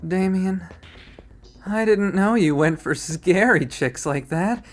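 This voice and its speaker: Male performer as young college bro, high-pitched, brash, vain, slangy swagger, visual novel character. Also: slow, faint music behind. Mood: cocky, teasing. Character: cocky young college guy, brash, vain about his looks.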